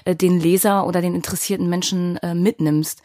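A woman's voice: on the low side, fairly even intonation, brisk, warm tone, no echo, studio quality. A woman hosting a podcast interview, speaking conversationally and reflectively, with appreciative comments for her guest. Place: studio.

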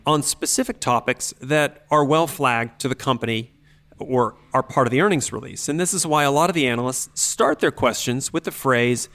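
A man speaking as an expert podcast host, explaining things in an articulate, analytical and measured way. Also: medium pitch, a bit bright.

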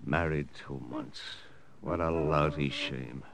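An actor playing a tough, plain-spoken American lieutenant in old radio drama, slangy and direct. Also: male voice, very deep voice, steady tone, slow, gravelly, fairly bright tone, heavily acted. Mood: puzzled, concerned. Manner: slangy, hard-boiled, direct. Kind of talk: 1940s-50s radio drama narration. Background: dramatic music.

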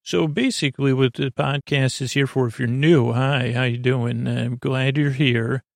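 A man talks as the host of a bedtime podcast in a notably creaky, mid-pitched voice. He rambles in a soothing, gently whimsical way, often stammering and drifting into tangents. The sound is dry, with no room echo. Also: steady tone. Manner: halting, stammering, trailing into tangents. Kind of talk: rambling, meandering sleep-podcast monologue.

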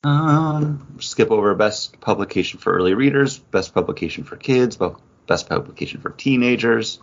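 A man's voice: on the low side, expressive, moderate pace. A man hosting a podcast, chatting casually and informally in an off-the-cuff way. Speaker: podcast host. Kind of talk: casual chat, reading out award nominees. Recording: dull, lo-fi podcast audio.

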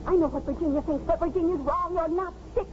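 A woman's voice: very high voice, steady tone, fast talker, darkish tone. An actress in a 1950s radio drama playing a distraught, self-pitying, smothering middle-aged mother in an overwrought, pleading manner. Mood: frantic, pleading. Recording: muffled, very noisy 1950s radio recording.

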